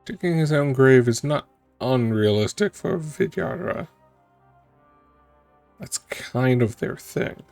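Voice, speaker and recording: Guy streamer w medium creaky voice, muttering questions over a game, faint music. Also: mid-range voice, expressive, takes it slowly, pauses often, a little mellow, no echo. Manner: musing, questioning asides. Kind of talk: casual reaction commentary on a game.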